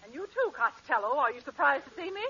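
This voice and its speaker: A shrill, haughty society wife. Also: female voice, lively intonation, fast, bright, forceful tone, a little hiss or hum. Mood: indignant. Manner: shrill, indignant, prim. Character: haughty prim society wife, middle-aged woman. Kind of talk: 1940s radio comedy acting. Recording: muffled 1940s radio broadcast.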